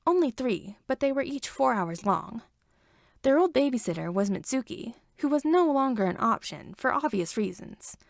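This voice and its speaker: A woman's voice: fairly high pitch, very animated, moderate pace, vocal fry, dry recording, studio quality. A woman reading a story aloud as scripted narration. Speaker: fan fiction reader.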